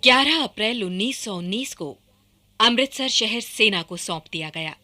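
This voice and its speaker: A woman's voice: fairly high pitch, pushed and bright. A woman narrating a scripted historical radio drama in a formal, emphatic way.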